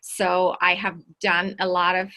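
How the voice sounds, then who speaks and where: A woman from Russia with a Russian accent, giving an energetic online workshop talk and often repeating words for emphasis. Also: fairly low pitch, measured pace. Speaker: workshop speaker. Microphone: video call.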